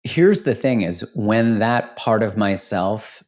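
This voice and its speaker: A man's voice: fairly low pitch, lively intonation, slow, a little mellow. A man hosting a podcast, talking in a reflective, casual way, with easy swearing and laughter. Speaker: podcast host.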